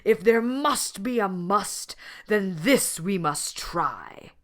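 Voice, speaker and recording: A gentle storybook lion played by a woman speaks with earnest care. The voice is lifted and swings widely in pitch, with measured, emphatic phrasing that suits a thoughtful poet. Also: lively intonation. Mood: earnest, resolute.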